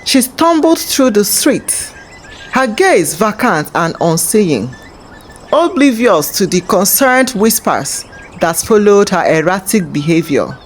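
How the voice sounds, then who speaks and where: A woman narrating a scripted folktale as host, in a measured, dramatic storytelling manner. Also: mid-range voice, a little hiss or hum.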